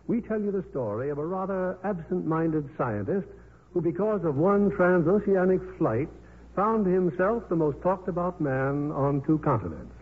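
A man's voice: sits high, expressive, normal speed, breathy edge. A man hosting and narrating a 1950s radio theatre show in a polished, formal, scripted showman's style. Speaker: host and narrator. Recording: narrow-band 1950s radio recording.

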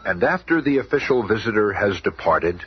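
An actor playing a grave, dramatic narrator of a superhero radio serial, with a solemn theatrical manner. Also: male voice, on the low side, big pitch swings, normal speed. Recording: noisy muffled old radio recording.

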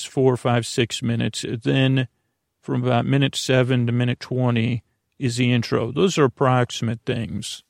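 A man hosting a bedtime podcast in a meandering, halting monologue full of trailing asides, with a creaky, gravelly voice. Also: mid-range voice, darkish tone, no echo, studio quality. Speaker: podcast host.

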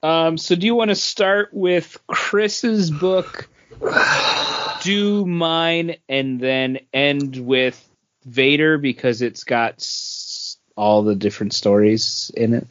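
Slow-talking man in casual chat. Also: fairly high pitch, fairly bright tone, dry recording. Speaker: comics podcast co-host. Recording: dull, dry lo-fi audio.

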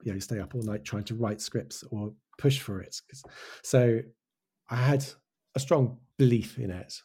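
An English-accented man with a soft, dark tone and a slightly rough edge talks casually as an interviewed creator. He circles back and restarts his thoughts, speaking with conviction about his own work. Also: medium pitch, moderate pace. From Wales. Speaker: animated show creator, interviewee. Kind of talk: casual interview answers, reflective.

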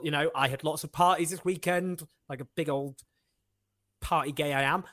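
A man's voice: a little high, no room sound. Emphatic man hosting a debate. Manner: animated, emphatic, fast-talking. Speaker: host. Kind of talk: political discussion.

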